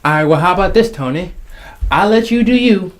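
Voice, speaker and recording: A man's voice: high-pitched, animated, brisk, noisy background. A young man hosting a casual entertainment commentary, jokey and slangy, stretching words for emphasis. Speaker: video host. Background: background music.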